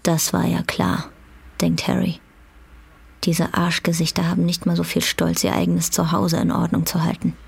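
A woman's voice: fairly low pitch, monotone, moderate pace, vocal fry, slight background noise. A woman narrating a German radio drama from a script.

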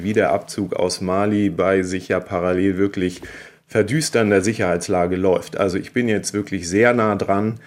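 A man's voice: on the low side, a little mellow. A man, a correspondent reporting conversationally on a podcast. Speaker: radio correspondent.

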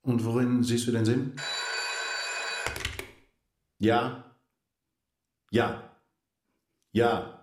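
A man's voice: medium pitch, flat delivery, extremely slow, pauses often, darkish tone, roomy sound, a little hiss or hum. A man playing a composed, aloof husband in a German radio drama, curt and cool, holding back his hurt. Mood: restrained hurt.

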